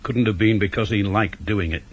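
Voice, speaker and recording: A man's voice: on the low side, steady tone, moderate pace, a little hiss or hum, lightly acted. An actor playing a seasoned, cynical police inspector, a British man with a dry, blunt, probing manner, in radio drama style. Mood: dry, sardonic.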